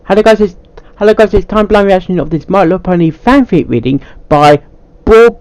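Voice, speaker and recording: A man with a slightly high voice talks casually as host of a reaction video. His pitch jumps around in a very lively way. He speaks without a script, stumbling over words and going back to repeat phrases. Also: a little fast, smooth, dry recording. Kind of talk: casual unscripted reaction commentary.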